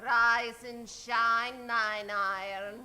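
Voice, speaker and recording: A woman's voice: on the high side, steady tone, very slow, sharp tone, a little hiss or hum, full character voice. A woman playing an alluring, sarcastic villainess who taunts and gloats in an improvised radio play. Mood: gloating, taunting. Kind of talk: improvised old-time radio acting.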